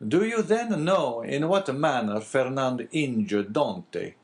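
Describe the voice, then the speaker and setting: A man voicing a polite, composed priest in an audiobook, formal, measured and probing. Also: medium pitch, animated, pitched above the performer's own voice.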